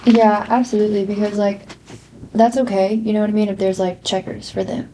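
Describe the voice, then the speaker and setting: A woman's voice: mid-range voice, little pitch movement, fairly quick, dark tone, faint music behind, poor audio. A woman in her twenties giving her opinion in casual chat, full of "like" fillers. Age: 20s. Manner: chatty, many "like" fillers. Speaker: interviewee.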